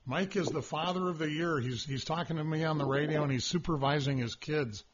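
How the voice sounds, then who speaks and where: A man hosting a casual radio chat show, talking loudly and rambling with stammered restarts and a husky voice. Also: a little high, brisk, a little hiss or hum. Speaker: radio host. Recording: dull lo-fi radio broadcast.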